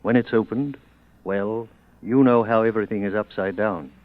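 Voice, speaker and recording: A man's voice: lowish voice, moderate pace, dry recording, lightly acted. A dignified governor played by a male actor speaks with formal courtesy and troubled resolve. It is old-time radio drama, narrow and tinny in sound. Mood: grave, resolute.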